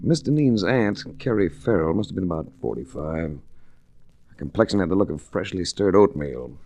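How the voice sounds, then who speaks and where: Hard-boiled private investigator, lowish voice with very mobile pitch, wry narration, vintage radio. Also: male voice, expressive, normal speed, creaky, warm tone, exaggerated voice. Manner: hard-boiled, dryly witty first-person storytelling. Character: tough, wry male investigator narrating his own case. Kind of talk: 1940s radio detective acting. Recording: restored old radio with hiss.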